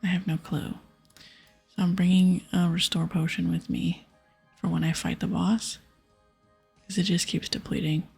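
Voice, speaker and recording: A woman hosts a gaming ASMR session in a soft, extremely breathy whisper. Her voice often crackles into fry as she casually thinks aloud about her play. Gentle music sits quietly behind her. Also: lowish voice, little pitch movement, moderate pace, pauses often. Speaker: ASMR gaming channel host. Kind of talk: whispered ASMR game commentary.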